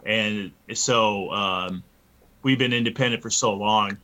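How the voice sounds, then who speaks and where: A man's voice: fairly low pitch, slow, sharp tone. A man of fifty-one speaking casually and earnestly as an interview guest, feeling his way through his phrases. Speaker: interview guest, metal band musician.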